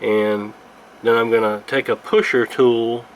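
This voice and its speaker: A man giving a casual hands-on repair tutorial in a halting, unscripted, explanatory way. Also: medium pitch, fairly even intonation, poor audio. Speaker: radio repair video host. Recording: clear background noise.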